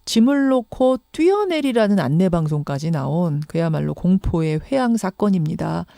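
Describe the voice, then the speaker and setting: A woman's voice: fairly low pitch, big pitch swings, moderate pace, creaky, mellow, no room sound. A woman hosting a radio news show, interviewing in a conversational, engaged way and restating what she hears. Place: radio studio. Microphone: close studio microphone.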